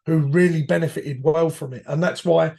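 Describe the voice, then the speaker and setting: A man, hoarse from a cold, a guest reminiscing about his years as a firefighter in rambling, self-correcting talk. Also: on the high side, steady tone, moderate pace, mellow. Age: 50s. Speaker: podcast guest, retired firefighter. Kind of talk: reflective conversational storytelling.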